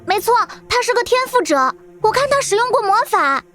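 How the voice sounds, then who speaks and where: A woman voicing a young, innocent and blunt beast-folk girl in an animated fantasy drama, with a childlike, eager manner. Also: very high-pitched, fairly quick, soft background music. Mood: insistent. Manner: childlike, refers to self by name.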